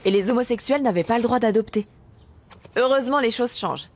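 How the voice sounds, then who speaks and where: A woman's voice: a little high, a little fast, no echo, close to an everyday voice. A woman voicing a friendly, patient female dinosaur who explains things cheerily in a children's educational cartoon. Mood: patient, explaining.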